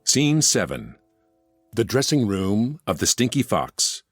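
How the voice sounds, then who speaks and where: A man's voice: on the low side, very animated, takes it slowly, breathy edge, dry recording, soft background music. A man reading stage directions as scripted narration for an audio musical.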